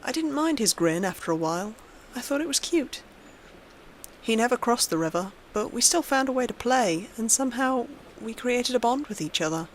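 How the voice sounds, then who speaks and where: A woman's voice: on the high side, animated, moderate pace, a little hiss or hum, low-quality recording. A woman reading a scripted horror story aloud as its narrator.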